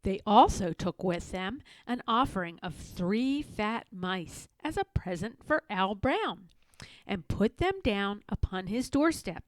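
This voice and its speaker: A woman narrating a children's storybook aloud in an expressive, measured read-aloud manner. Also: mid-range voice, no room sound, low-quality recording. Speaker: storybook reader.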